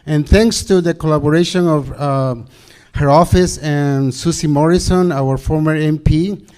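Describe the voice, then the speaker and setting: A middle-aged man from Peru, giving a hesitant campaign speech full of pauses and fillers at a community meeting. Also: on the high side, darkish tone, smooth. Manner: hesitant, many fillers and restarts. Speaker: council candidate. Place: community hall.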